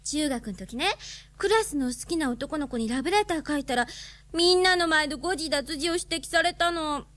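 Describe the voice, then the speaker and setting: A woman's voice: sits high, moderate pace, fairly bright tone, dry recording. An actress playing a thoughtful, girlish young heroine in a Japanese sci-fi radio drama. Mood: sheepish, confiding.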